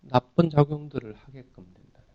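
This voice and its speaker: A man's voice: on the high side, fast, phone-quality sound. A man teaching in an explanatory dharma talk, putting questions to listeners and answering them in a didactic way. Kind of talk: explanatory sermon with everyday examples.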